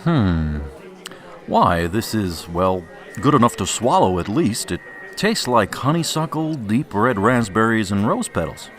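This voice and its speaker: A fastidious radio drama wine critic with a fairly low, swooping voice. He is refined, measured and very pleased with himself, and music plays behind him. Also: sing-song, fairly bright tone. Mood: savouring, smug. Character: fastidious, self-satisfied male wine critic.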